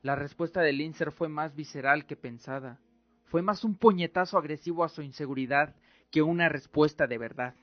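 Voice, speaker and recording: Guy narrating a radio drama, dramatic, fairly high voice, dry muffled sound. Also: moderate pace, no room sound. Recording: dull lo-fi recording with music. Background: music.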